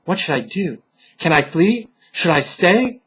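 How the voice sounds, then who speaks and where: A male narrator voicing a wicked man in a dark fantasy story, measured and husky, with a falsely tender manner. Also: high voice, very animated, heavily acted. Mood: anxious.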